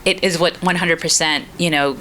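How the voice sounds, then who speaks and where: A woman's voice: fairly low pitch, fairly even intonation, normal speed, bright, forceful tone. A woman born in Guatemala and raised in Houston and New Jersey, telling her story as a podcast guest in a studio, candid, laughing often and swearing casually. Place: recording studio.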